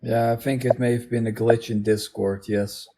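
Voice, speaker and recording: A man's voice: medium pitch, flat delivery, normal speed, dark tone. A man in a casual unscripted online chat, speaking hesitantly and repeating his words as he goes. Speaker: video co-host.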